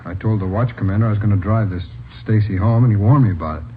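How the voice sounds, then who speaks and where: An American actor playing an anxious, respectful young policeman in 1950s radio drama style, halting and pleading. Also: on the low side, close to an everyday voice. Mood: earnest, explaining. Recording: old radio, narrow band, noisy.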